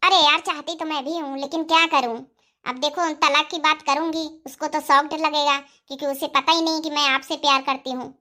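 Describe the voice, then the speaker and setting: A woman's voice: high voice, steady tone, fairly quick, sharp tone. A woman voicing a vain, defiant young schoolteacher wife in a cartoon comedy, with a sassy, haughty manner. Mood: coy.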